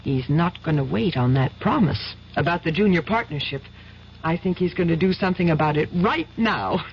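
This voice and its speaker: Adoring fiancée, gushing, lively lilting voice, dull old radio sound. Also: female voice, lowish voice, normal speed. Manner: gushing, affectionate. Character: young adoring fiancée, boss's daughter. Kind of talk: 1970s radio drama acting. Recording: dull lo-fi radio broadcast with faint hiss.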